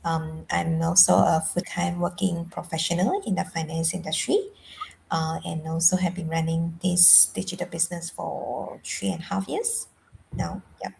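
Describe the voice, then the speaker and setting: A woman's voice: lowish voice, steady tone, normal speed, vocal fry, a little mellow, a little hiss or hum, low-quality recording. A woman from Malaysia giving a brief, matter-of-fact self-introduction. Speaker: guest presenter.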